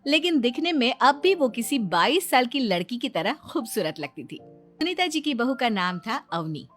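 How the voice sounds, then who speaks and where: A woman's voice: on the high side, very animated, normal speed, a bit bright, faint music behind. A woman narrating a scripted moral story as its storyteller.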